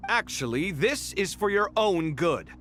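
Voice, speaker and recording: Animated, higher-pitched young male scholar. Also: unhurried, a little hiss or hum, heavily acted. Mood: exasperated. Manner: animated, theatrical. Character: expressive young male scholar, conscientious and quick to grumble. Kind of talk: video game dialogue acting.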